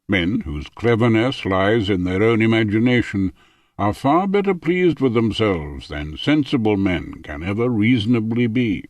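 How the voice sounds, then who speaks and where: Low-pitched man reading a formal audiobook. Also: expressive, measured pace, no echo. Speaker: audiobook reader. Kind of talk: scripted audiobook narration of a philosophical essay.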